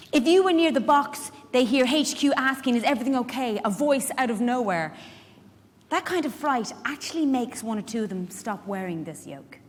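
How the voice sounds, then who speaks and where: Animated Irish woman acting a frank, wry carer. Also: medium pitch, a little hiss or hum, natural voice. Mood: exasperated, wry. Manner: conversational, pointed rhetorical questions. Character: chatty, frank, wry woman carer looking out for elderly clients. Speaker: actor in a dramatic reading. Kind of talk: theatrical monologue addressed to a live audience. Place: large indoor hall at a public event. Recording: echoey hall, live stage reading.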